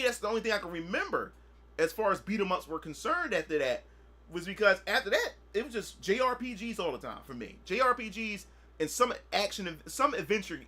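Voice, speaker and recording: A man in his thirties talks loosely to his stream audience, circling back over the same points. His voice sits high for a man and has a bright, sharp edge. He keeps the tone casual and conversational throughout. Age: 30s. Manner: rambling, repetitive, often asks "you know what I'm saying". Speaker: gaming streamer. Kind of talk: casual livestream chat.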